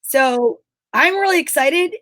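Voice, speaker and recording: Woman, high voice, dry close sound, upbeat, enthusiastic, casual live-show banter. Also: animated, takes it slowly, fairly bright tone, no room sound, poor audio. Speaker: co-host of an online comedy show.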